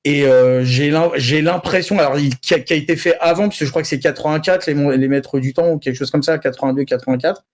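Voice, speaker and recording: A man's voice: on the high side, fast talker, hardly any pauses. A man chatting casually about anime news as a panel commentator, often restarting his phrases in a hesitant way. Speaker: panel commentator on a geek culture show.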